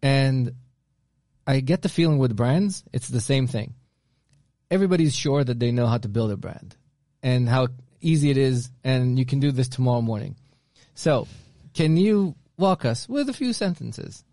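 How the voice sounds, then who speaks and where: Brief: warm, mellow male co-host, anecdotal and chatty, dry close sound. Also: medium pitch, expressive, normal speed, no room sound. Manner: storytelling, restarts often, anecdotal. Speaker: podcast co-host. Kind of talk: casual conversational chat.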